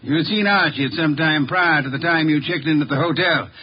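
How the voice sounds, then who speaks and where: A man acting a brilliant, haughty middle-aged detective in a 1950s radio drama, speaking in a pompous, formal, deliberate way, on a muffled old recording. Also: a little high, breathy edge. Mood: stern, certain. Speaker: radio actor in a detective series.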